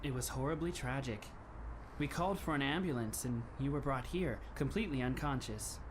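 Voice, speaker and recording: A man in an anime fan dub playing a polite, composed teenage schoolboy who speaks in formal, measured phrases with a faintly wry touch. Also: medium pitch, background noise, close to an everyday voice. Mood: calm, grave.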